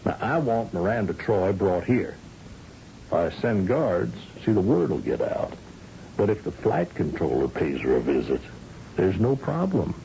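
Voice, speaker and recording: An actor playing a shrewd, folksy, commanding governor of a space colony in a 1980 radio drama, with hesitant asides and brisk orders. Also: male voice, low voice, big pitch swings, vocal fry, mellow, a little hiss or hum, heavily acted. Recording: dull lo-fi old radio broadcast recording.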